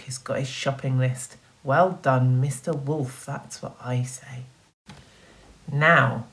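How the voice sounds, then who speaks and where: A woman teacher reading a children's story aloud in an expressive, encouraging manner, talking directly to young listeners. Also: deep voice. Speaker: primary school teacher.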